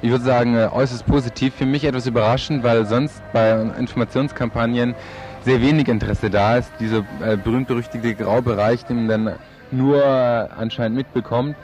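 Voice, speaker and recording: A young man giving spontaneous, halting answers as a student activist in an interview. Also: mid-range voice, steady tone, darkish tone, faint music behind. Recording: dull lo-fi outdoor field recording.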